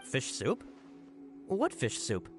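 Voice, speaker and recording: Game role: loyal male underling, emphatic and easily flustered. Higher voice, animated delivery, faint music behind. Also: slow. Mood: puzzled, defensive. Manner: deferential, emphatic, apologetic. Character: earnest, flustered male subordinate agent. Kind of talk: video game voice acting.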